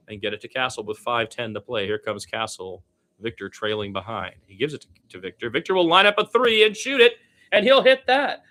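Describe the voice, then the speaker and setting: A man's voice: medium pitch, sharp tone. A man doing informal solo basketball play-by-play commentary, conversational and given to chatty asides.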